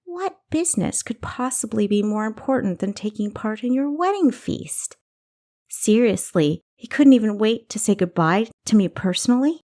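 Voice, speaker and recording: A woman's voice: fairly high pitch, expressive, normal speed, mellow, voice pushed up, natural voice. Lady narrator doing a petulant sister in a fantasy tale, indignant, pointed. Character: indignant, sulky younger woman, the bride's sister.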